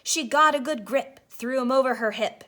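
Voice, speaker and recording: A woman teacher reading a children's storybook aloud in an expressive narrating style. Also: sits high, moderate pace.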